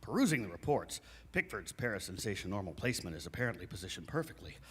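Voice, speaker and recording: A man's voice: on the low side, big pitch swings, fast talker, fairly bright tone, slight background noise. A man acting a pompous, self-important ghost-hunter show host in a live comedy sketch, with a grandiose, theatrical manner. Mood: ominous, pompous. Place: recreation center hall, live stage performance. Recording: hall reverb of a live show.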